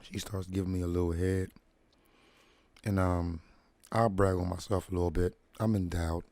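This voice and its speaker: Laid-back deep-voiced man telling stories. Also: little pitch movement, darkish tone, no echo. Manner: laid-back slangy storytelling, "dig me" asides; deliberately deep "radio voice". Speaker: show host. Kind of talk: casual storytelling monologue. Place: at home, relaxing with feet up.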